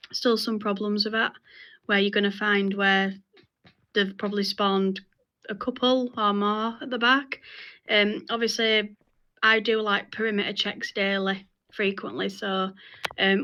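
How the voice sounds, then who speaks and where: A woman's voice: mid-range voice, level tone, moderate pace, sharp tone, low-quality recording. A woman leading an informal group meeting, explaining things in a hesitant, repetitive way. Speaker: meeting leader.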